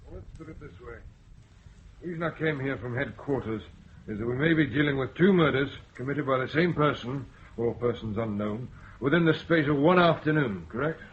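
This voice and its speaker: Man doing a measured police chief, dull muffled sound, faint hiss. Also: medium pitch, lightly acted. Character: measured male police superintendent. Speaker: senior police officer.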